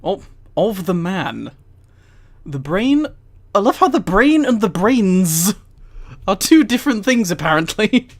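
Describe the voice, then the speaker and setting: Giggly streamer with a very animated voice. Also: medium pitch, unhurried. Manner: giggly, often breaking into laughter while reading. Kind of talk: live stream, amused reading aloud with casual commentary.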